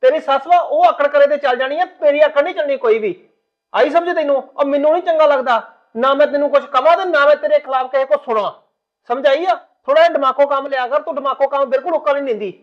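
Guy playing a lecturing young husband, high quick voice, muffled. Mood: scolding. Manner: reasoning, then lecturing sternly. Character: young husband and son, torn between mother and wife.

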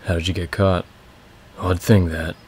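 A man voicing a talkative, raspy male slave with a scraggly beard who boasts about stealing livestock, in a low, flat read. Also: measured pace. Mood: boastful. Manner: chatty, prodding, amused.

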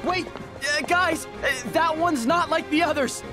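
A man's voice: very high voice, fairly even intonation, unhurried. A man playing an earnest teenage boy robotics prodigy and hero in a video game cutscene, hesitant when thinking. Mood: puzzled, earnest. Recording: music behind the voice.

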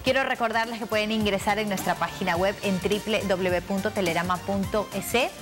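A woman's voice: mid-range voice, fairly even intonation, fairly quick, music in the background. A young woman, presenting and interviewing in a polished broadcast style on a lapel mic in a television studio. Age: young adult.

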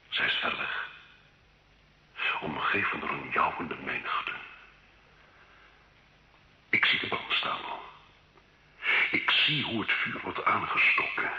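A man's voice: medium pitch, sharp tone, heavily acted. An actor playing a condemned nobleman and former soldier, haunted and proud, in solemn, brooding radio drama. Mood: anguished. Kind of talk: slow theatrical radio drama acting. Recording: dull old radio recording, roomy echo.

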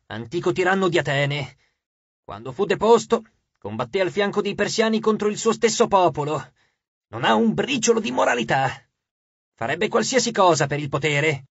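A man voicing a scornful, sharp-toothed ghost suitor with a mocking, domineering manner. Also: high voice, vocal fry, husky, no room sound, muffled recording, full character voice.

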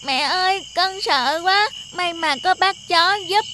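A woman's voice: very high voice, unhurried, sharp tone, slight background noise, full character voice. A woman voicing a small, timid buffalo calf, childlike and pleading, in a cartoon fable. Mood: frightened, pleading. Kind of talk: dubbed cartoon fable acting.

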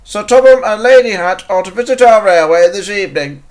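A man's voice: high-pitched, lively intonation, brisk, darkish tone. A man voicing a thin, strict railway controller from a children's cartoon, formal and admonishing.

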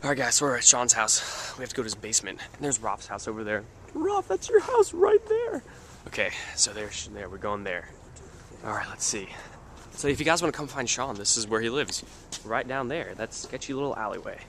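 A man's voice: medium pitch, huge pitch swings, fairly quick, a bit bright, a little hiss or hum. A young man chatting casually as he films a handheld vlog tour through a basement and a snowy street at night, joking along the way. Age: young adult. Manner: chatty, jokey, enthusiastic narration. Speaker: vlogger. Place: house basement and outdoors at night. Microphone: handheld camera at arm's length.

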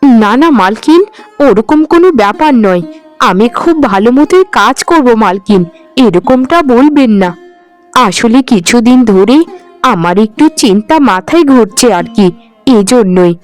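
A woman acts out a careworn widowed maid in a cartoon. Her voice is high, plaintive and earnest, set over music. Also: expressive, measured pace. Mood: apologetic. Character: careworn widowed housemaid, mother of two daughters. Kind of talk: Bengali cartoon voice acting.

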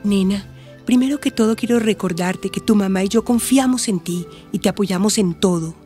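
A woman playing a calm, supportive counsellor in a radio drama, gentle, reassuring and explanatory. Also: mid-range voice, normal speed, faint music behind, lightly acted.

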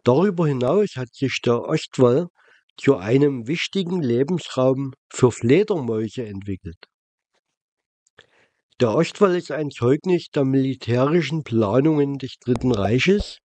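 A man reading a scripted, factual documentary narration in a measured way. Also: mid-range voice, mellow, dry recording. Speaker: narrator of a travel documentary vlog.

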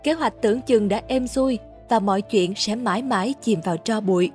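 A woman's voice: a little high, brisk, a little mellow, soft background music. A woman reading a scripted, dramatic introduction to a book as its announcer.

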